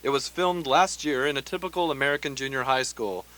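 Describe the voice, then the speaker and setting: Satirical radio play: man as earnest, formal public-service announcer, medium-pitched, scripted delivery. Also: a little fast, fairly bright tone, natural voice, rough recording. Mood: solemn, formal. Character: earnest announcer of mock educational broadcast.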